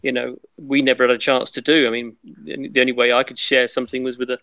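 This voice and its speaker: A man's voice: mid-range voice, little pitch movement, fast, creaky, bright, forceful tone, dry recording. A British man in his fifties chatting casually as a podcast guest, telling anecdotes with wry, self-deprecating humour. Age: 50s. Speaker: podcast guest, record shop owner.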